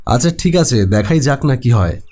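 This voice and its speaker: A man playing a practical, skeptical house owner in a cartoon horror story, speaking in a measured way. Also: medium pitch, lively intonation, mellow, close to an everyday voice.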